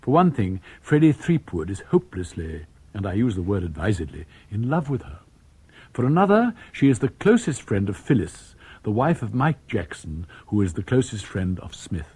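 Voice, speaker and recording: An actor playing a genial older English author, narrating a radio drama conversationally and straight to the listener. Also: male voice, lowish voice, big pitch swings, normal speed, dark tone, husky, no echo, full character voice. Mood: confiding, wry. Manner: conversational, addressing the listeners directly. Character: genial older male author telling his own story.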